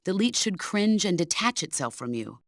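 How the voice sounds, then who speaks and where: A woman's voice: fairly low pitch, sing-song, normal speed, dry recording, studio quality. A middle-aged woman playing a stern, commanding veteran soldier who mentors her former protégé in a video game. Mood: matter-of-fact. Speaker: military mentor character. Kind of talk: video game voice acting, radio briefing dialogue.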